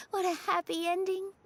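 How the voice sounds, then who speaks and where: A woman playing a sweet, earnest young dancer in a video game, speaking gently and with open wonder. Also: very high voice, full character voice. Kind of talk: video game voice acting, storytelling.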